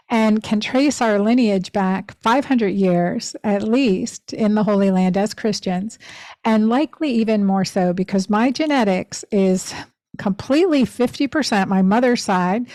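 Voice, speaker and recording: Podcast host, woman, 50s, from Pennsylvania; heartfelt reflective storytelling, medium pitch, dry room. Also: a little mellow, no echo. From Pennsylvania, USA. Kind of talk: personal storytelling monologue.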